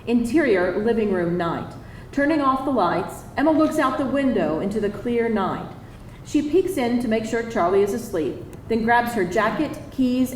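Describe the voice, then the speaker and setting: A woman reading screenplay stage directions as scripted narration at a live table read. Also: medium pitch. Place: live event room. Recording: roomy, distant, faintly noisy live reading.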